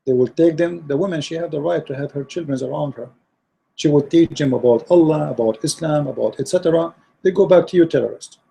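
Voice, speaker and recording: Hoarse man with a dark, husky voice. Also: a little high, brisk, poor audio. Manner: earnest, repetitive, many fillers and restarts. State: losing his voice. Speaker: online religious debater. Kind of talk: direct address to viewers, closing remarks.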